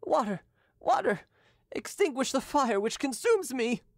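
A woman's voice: high voice, big pitch swings, unhurried, a little mellow, dry recording, clean studio sound, voice pushed up, exaggerated voice. A woman reader voicing a young man dying in agony, crying out in pain. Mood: anguished.

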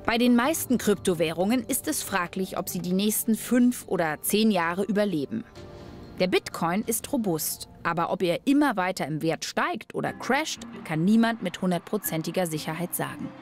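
A woman's voice: medium pitch, lively intonation, moderate pace, faint music behind. A woman reading scripted narration for a documentary.

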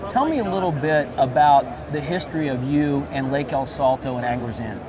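A man's voice: mid-range voice, brisk. A man in his forties interviewing a guest at a trade-show booth, prompting with leading questions. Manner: leading questions, frequent affirmations. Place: busy convention hall booth, indoors. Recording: crowd chatter behind.

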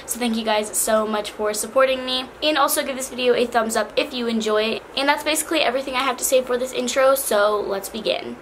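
Teen girl vlogger, slightly hoarse, higher voice, chatty; bedroom with faint fan hum. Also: fairly quick, hardly any pauses, a bit bright, low-quality recording. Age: teens. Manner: chatty, bubbly, lots of "like". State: slightly hoarse from cheer camp. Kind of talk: casual backpack vlog. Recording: faint fan noise behind.

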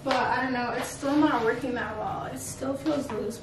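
A young woman with a high voice talks casually to her vlog viewers. She gushes and peppers her talk with "like". The recording is echoey, picked up far from the mic. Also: moderate pace, noisy background. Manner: gushing, filler-heavy "like" speech. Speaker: vlogger. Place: indoors at home, echoey room.

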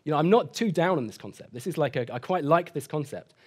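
A man in his thirties answering questions after a keynote at a conference, hedging often and speaking quickly. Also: a little high, expressive, slightly rough. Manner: hedging, sort of, you know. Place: conference hall stage.

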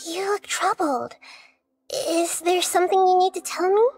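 A woman voicing a gentle, wise, childlike little goddess in a game, calm and thoughtful. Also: extremely high pitch, measured pace, soft background music. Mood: pondering, concerned.

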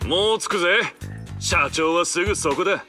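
An actor voicing a hot-blooded, brash young construction worker in an anime game, with rough slangy delivery. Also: male voice, sits high, moderate pace, sharp tone. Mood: cocky. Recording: music behind.

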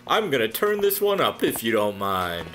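A man's voice: mid-range voice, big pitch swings, normal speed, over music, close to an everyday voice. A man playing a cruel, gloating bully who talks in a taunting way in an audio drama. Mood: gleeful taunting.

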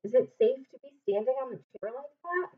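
A woman in her twenties reading aloud to young children like a teacher, asking them simple questions in an animated way. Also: high voice, fairly quick, slightly rough. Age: 20s. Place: small home room, indoors. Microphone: webcam or laptop mic at arm's length. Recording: dull webcam sound, distant mic.

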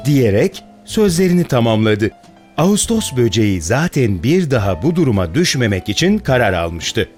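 Man, children's fairy tale narrator, animated intonation, medium pitch, scripted storytelling, clear background music. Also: moderate pace.

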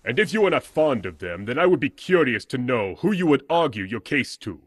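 A cold corporate negotiator in an audio drama. He speaks in a husky, measured way, coolly condescending. The sound is close and dry. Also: mid-range voice, very animated, no echo.

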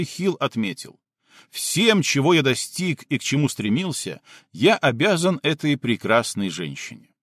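A man narrates a nonfiction audiobook from a script. His mid-pitched voice moves through animated rises and falls while staying measured and clearly articulated. The recording is dry, with very little room sound. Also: dry recording.

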